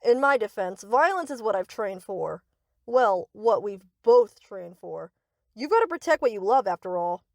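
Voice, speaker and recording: A woman's voice: medium pitch, expressive, moderate pace, creaky, a little mellow, no echo, studio quality. A woman playing a sweet, reassuring but possessive young yandere best friend in an anime-style audio roleplay, coaxing and doting. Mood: affectionate.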